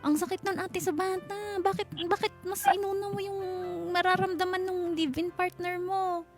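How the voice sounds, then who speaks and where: High-voiced woman, Filipino accent, frank, colloquial, radio advice host, background music. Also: flat delivery, moderate pace. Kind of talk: conversational advice and questioning.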